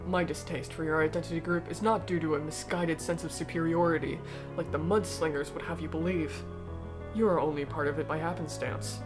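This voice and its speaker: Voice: medium pitch, steady tone, over music, natural voice. A performer in a fan dub voicing a cold, aristocratic alien noble who speaks formally and eloquently, bitter yet persuasive. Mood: resentful, pointed. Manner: formal, eloquent, measured speech. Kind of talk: fan-dub voice acting of comic dialogue.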